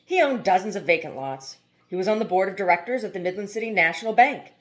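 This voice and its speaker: A woman's voice: mid-range voice, lively intonation, fast talker. A woman reading a novel aloud as scripted narration, now and then stumbling over a word. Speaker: amateur audiobook reader.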